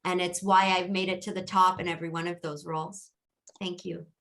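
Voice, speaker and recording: Bright-voiced earnest Canadian woman candidate. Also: lowish voice, level tone, brisk. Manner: earnest, many fillers and restarts. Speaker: council candidate. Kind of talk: candidates' debate answer.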